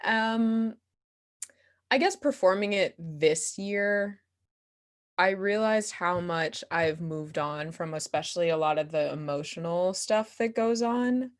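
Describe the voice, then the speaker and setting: A young woman with a voice a little on the low side, answering interview questions casually. She is animated and giggly, and talks candidly with a self-deprecating streak. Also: slow pace. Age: young adult. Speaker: guest, playwright and solo performer.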